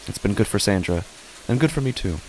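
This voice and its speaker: A man's voice: expressive, brisk, a little mellow, a little hiss or hum, low-quality recording. A man reading scripted horror fiction as a first-person narrator. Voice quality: low-pitched. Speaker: podcast host and narrator.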